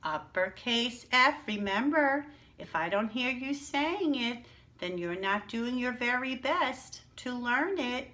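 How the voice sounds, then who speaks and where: A woman teacher leading young children through letters and words, speaking slowly and deliberately. Her voice is bright and forceful, and she keeps asking the kids to say each word with her and praises them. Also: a little high, expressive. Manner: encouraging, slow and drawn out, calls for repetition. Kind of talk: early-reading lesson for young children.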